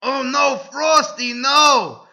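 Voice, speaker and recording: A man putting on a frantic young female elf, pleading and shouting in a shrill, melodramatic falsetto-like voice. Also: extremely slow, gravelly, exaggerated voice. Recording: dull lo-fi recording.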